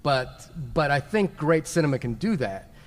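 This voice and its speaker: A man's voice: on the high side, sing-song, normal speed. A man talking casually and conversationally as a podcast host in a live panel discussion. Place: large indoor convention panel room. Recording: reverberant convention hall.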